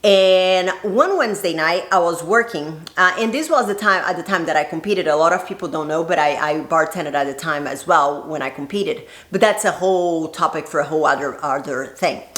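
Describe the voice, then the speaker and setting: A woman's voice: sits low, normal speed, hardly any pauses, a bit bright. A woman from Brazil telling a personal story to camera in a chatty, laughing way. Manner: chatty, laughs often, playful quoted voices. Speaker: vlogger. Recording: echoey room.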